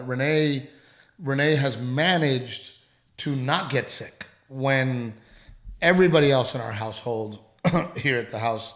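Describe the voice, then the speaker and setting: Male co-host, casual home podcast, a bit hoarse with a cough, meandering delivery, roomy sound. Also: medium pitch, a bit bright. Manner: rambling, halting, full of restarts. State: lingering dry cough. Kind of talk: casual chat.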